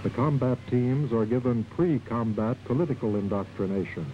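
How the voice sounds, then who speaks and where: A man's voice: mid-range voice, normal speed, soft background music. A man reading a scripted propaganda documentary narration in a formal, declarative manner. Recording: muffled, noisy old film soundtrack.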